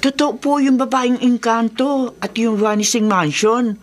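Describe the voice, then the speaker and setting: A woman's voice: on the high side, steady tone, a little slow, close to an everyday voice. An actress playing a superstitious, gossipy middle-aged mother in a horror radio drama, earnest and deferential. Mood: earnest warning.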